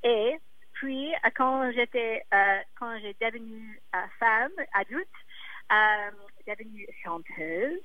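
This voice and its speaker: English-speaking singer lady, slow careful second-language talk, lots of pauses, muffled phone-ish sound. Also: a little high. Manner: hesitant, searching for words.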